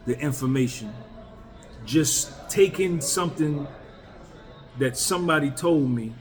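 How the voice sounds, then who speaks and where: A man in his fifties, a YouTuber, talking candidly and bluntly to his viewers in a casual, emphatic way. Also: fairly high pitch. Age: 50s. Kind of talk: casual talk to camera.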